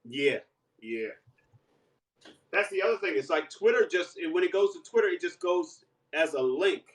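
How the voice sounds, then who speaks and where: A man's voice: high voice, animated, moderate pace. Chatty man in an echoey kitchen. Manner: chatty, laughs often, breaks into playful singing. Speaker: guest live streamer and singer. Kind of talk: casual live-stream chat while cooking. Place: home kitchen. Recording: echoey room, voice far from mic.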